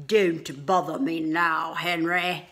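A woman's voice: deep voice, fairly even intonation, takes it slowly, gravelly, fairly bright tone, exaggerated voice. A woman voicing a boastful male pirate bunny in a storybook read-aloud.

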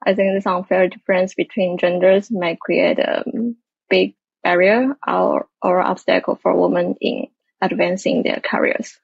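A woman with a husky voice and some vocal fry speaks on an online panel in a measured way, pausing often. The sound is dry. The call audio is a bit dull. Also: fairly low pitch, flat delivery, no room sound. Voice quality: gravelly, husky. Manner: measured, hesitant. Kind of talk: panel discussion on video call.

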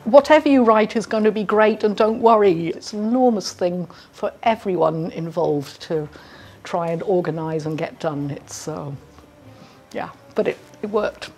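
A woman's voice: mid-range voice, a little slow, a little mellow. A middle-aged woman, an orchestra organiser, talking conversationally in an interview. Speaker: musician who organises an orchestra. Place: indoor room with brick walls, bar behind. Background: background music.

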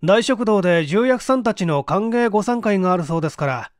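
A male narrator puts on a polite young office boy in an audiobook story. His voice is pitched up and quick, in a dry, close sound. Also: expressive, no echo. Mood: brisk, polite.

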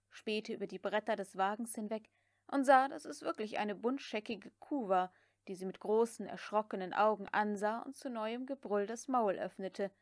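A woman's voice: mid-range voice, darkish tone, no echo, clean studio sound. A woman reading literary prose as an audiobook narrator.

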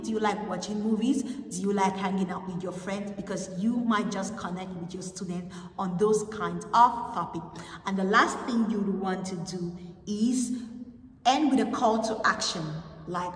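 A young woman with a Cameroonian English accent giving tips as an online English teacher, speaking in a clear, measured teacherly way in an empty classroom. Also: mid-range voice. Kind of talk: instructional video talk. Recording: echoey room from far off.

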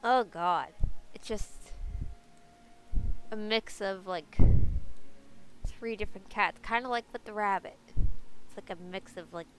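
A woman's voice: mid-range voice, a little slow, long pauses, fairly bright tone, a little hiss or hum, low-quality recording. A young woman with a congested, stuffy voice, chatting casually through a game guide with lots of fillers. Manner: chatty, many fillers, upbeat. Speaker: game video creator. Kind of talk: casual gameplay guide narration.

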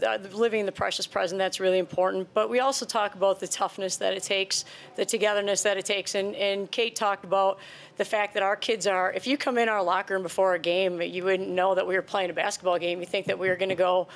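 A woman's voice: medium pitch, little pitch movement, quick pace. Earnest woman coach at a press table. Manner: earnest, conversational, repeats words as she restarts. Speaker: head basketball coach. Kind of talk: postgame press conference answers. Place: press conference room before a sponsor backdrop. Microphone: table microphone.

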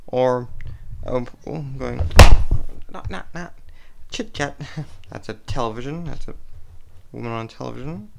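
A man's voice: medium pitch, expressive, unhurried, creaky, long pauses, slight background noise. A young man reading a picture book aloud as a story time host, stumbling as he goes, with sound effects and playful asides to a puppet. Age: young adult. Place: small room indoors.

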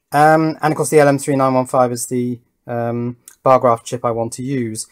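A man's voice: medium pitch, darkish tone. A man giving casual commentary as an electronics hobbyist, thinking aloud and muttering to himself while he works at an indoor workbench. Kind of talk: casual hands-on build commentary.

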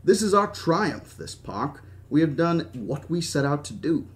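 A man's voice: on the high side, very animated, unhurried. Guy doing an old british gent in his 70s, grandiose and stubborn, genteel storybook character. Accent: attempted British. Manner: genteel, avuncular, speechifying. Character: stubborn, grandiose old man, wealthy park founder.

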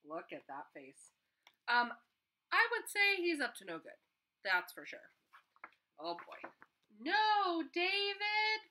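A woman's voice: on the high side, pushed and bright. A young woman teacher reading a picture book aloud to children, chatting to them in between pages, filmed on a webcam in her living room. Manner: friendly, chatty, with asides to kids. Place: living room at home, on a couch. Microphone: webcam at desk distance.

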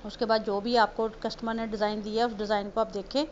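A woman's voice: medium pitch, flat delivery, fast talker. A woman from Punjab, Pakistan, casually explaining cake decorating step by step in a homely, chatty way. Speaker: home baker teaching cake decorating. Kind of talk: casual voice-over tutorial. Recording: slightly muffled voice-over with faint noise.

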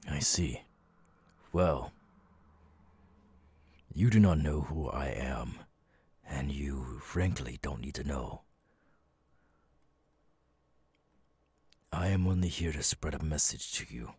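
A man voicing a menacing, imperious demon lord, cold and cruel, with a husky, breathy voice, in an ASMR audio roleplay. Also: very deep voice, darkish tone, no room sound, full character voice. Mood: cold, haughty. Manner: slow, deliberate, commanding, threatening.

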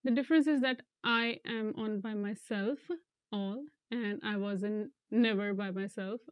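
A young woman from India, an international student, speaking earnestly in an interview with some halting restarts, on a lapel mic. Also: mid-range voice, fairly even intonation, smooth, no echo. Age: young adult. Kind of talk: casual interview talk.

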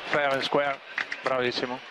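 Excited male race engineer on noisy radio. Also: medium pitch, normal speed. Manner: excited, cheering. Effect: team radio. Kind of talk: congratulatory team radio message. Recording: noisy, distant-sounding team radio.

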